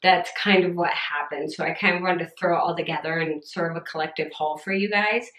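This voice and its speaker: A young woman vlogging casually from home, chatty and upbeat, her voice a little scratchy and rough. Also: lowish voice, fairly even intonation, a little fast, a bit bright, echoey room. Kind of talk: casual haul vlog chat.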